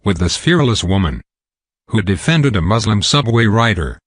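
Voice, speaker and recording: A man narrates in a low voice with lively rises and falls, measured and earnest. The sound is close and dry. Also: no room sound. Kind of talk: documentary voice-over narration.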